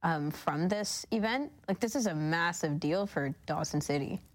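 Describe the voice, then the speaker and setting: Woman, low voice, co-host, casual conversational delivery. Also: normal speed. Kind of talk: casual on-air chat.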